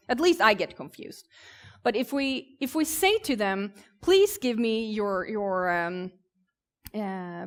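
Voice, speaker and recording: A woman with a Swedish accent gives an informal conference talk at a medium pitch. She is conversational and pauses hesitantly as she explains. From southern Sweden. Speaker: conference speaker. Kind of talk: informal tech presentation. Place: conference stage.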